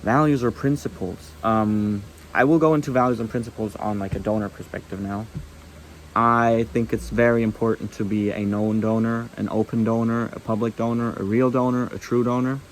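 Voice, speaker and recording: A man's voice: mid-range voice, steady tone, moderate pace, slight background noise, rough recording. A young man with a German accent, a German native speaker, talking casually to camera with many ums and restarts. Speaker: vlogger.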